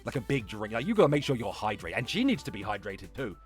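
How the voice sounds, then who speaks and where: A man's voice: on the low side, very animated, quick pace, soft background music. A man hosting a livestream with mocking reaction commentary, foul-mouthed, exasperated and sarcastic. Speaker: livestream host.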